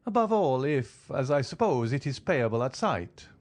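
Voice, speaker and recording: A man's voice: mid-range voice, sing-song, moderate pace, darkish tone, pitched above the performer's own voice, natural voice. A man doing a suave, ironic wealthy count. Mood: amused, flattering. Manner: polished, teasing, formal.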